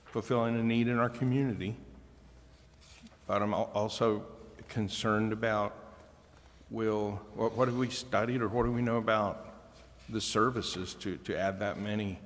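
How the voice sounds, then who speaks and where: A man serving as a council member, raising questions off the cuff in a halting, tentative way. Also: fairly low pitch. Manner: halting, tentative phrasing of concerns. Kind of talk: unscripted questioning in a public meeting. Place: indoor council chamber. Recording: reverberant council chamber.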